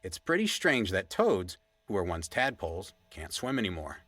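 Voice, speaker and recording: Bright, low, rambling sidekick played by a man. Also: sing-song, moderate pace, heavily acted. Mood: earnest, chatty. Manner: earnest, digressive storyteller. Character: earnest, rambling podcast sidekick telling odd animal facts. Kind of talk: conversational audio drama.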